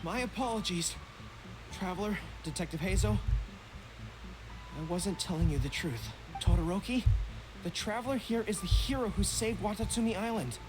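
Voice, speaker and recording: A man's voice: sits high, normal speed, background noise, natural voice. A man voicing an earnest, formal young general in a video game, apologetic and protective.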